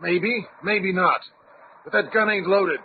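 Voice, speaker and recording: An actor playing a shifty crook with a hoarse, gravelly voice and rough slang with British turns, in an old-time radio adventure style. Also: male voice, sits high, takes it slowly. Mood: cornered, grudging. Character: shifty crook posing as a tough American adventurer. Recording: tinny narrow-band radio recording with hiss.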